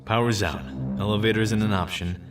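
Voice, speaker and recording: A man's voice: fairly low pitch, fairly even intonation, brisk, far from the mic, over music, close to an everyday voice. A man playing a dogged, hard-boiled police inspector and protective uncle in an audio crime drama, with a gritty, terse delivery. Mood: tense, determined. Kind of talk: audio crime drama acting with noir first-person narration.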